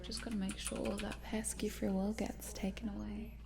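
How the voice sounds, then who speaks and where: Girl doing a cute evil scientist for asmr roleplay, soft whispery voice w lots of creak, chatty and coaxing. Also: medium pitch, level tone, moderate pace, very noisy, natural voice. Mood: coaxing, sinister. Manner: hushed, coaxing, murmuring, giggly asides. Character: cute sinister lady scientist, chatty, coaxing, quietly gleeful.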